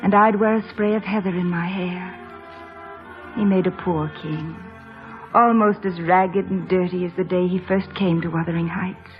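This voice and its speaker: An actress playing a proud, wilful, dreamy young gentlewoman in an old radio drama, with a yearning, theatrical manner. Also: lowish voice, fairly even intonation, normal speed, lightly acted. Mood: wistful narrating. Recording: muffled old radio broadcast, faint music.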